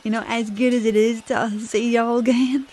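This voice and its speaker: A woman playing a dramatic, exasperated mother with a Southern US accent, theatrical and halting, in a comedic audio drama. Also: fairly high pitch, level tone, a little mellow, a little hiss or hum, close to an everyday voice. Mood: flustered.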